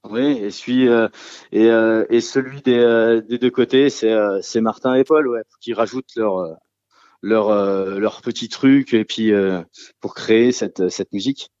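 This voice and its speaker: A man's voice: on the low side, fairly even intonation, a little slow, darkish tone, no echo, highs cut off. A man who sings and plays guitar in a rock trio, answering radio interview questions in a casual, hesitant way with many fillers and restarts. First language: French.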